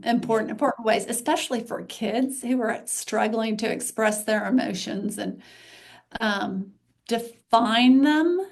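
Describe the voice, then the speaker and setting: A woman's voice: fairly high pitch, creaky. A middle-aged woman from Texas hosting a casual podcast conversation, rambling and restarting her thoughts as she talks. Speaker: podcast host.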